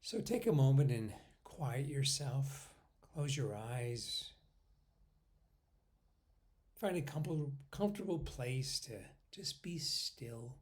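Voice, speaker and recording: Slow-paced man guiding a meditation. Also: medium pitch, animated. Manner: unhurried, pausing between short phrases, often trailing off softly. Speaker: meditation guide.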